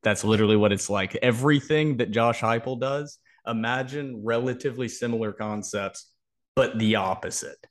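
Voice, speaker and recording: A man's voice: medium pitch, moderate pace. A man hosting a sports podcast, talking through a football preview in an opinionated, emphatic way. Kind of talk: football preview analysis, conversational.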